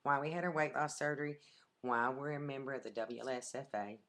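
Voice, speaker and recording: A woman chatting at home into a webcam, brisk and talkative, cutting in often. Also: extremely low pitch, fairly bright tone. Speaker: vlogger, half of a couple. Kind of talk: casual webcam chat.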